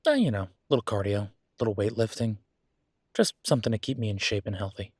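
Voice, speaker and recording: A man's voice: on the low side, lively intonation, fairly quick, husky, no echo. Man playing an easygoing werewolf boyfriend, close dry sound. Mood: easygoing, inviting. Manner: conversational, teasing, easygoing. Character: friendly, playfully vain werewolf boyfriend, human-sounding. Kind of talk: boyfriend roleplay audio, casual one-sided conversation.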